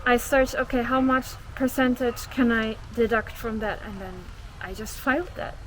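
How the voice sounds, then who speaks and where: A woman's voice: a little high, normal speed, slight background noise, low-quality recording. A woman hosting a casual conversation, curious and asking follow-up questions. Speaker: host.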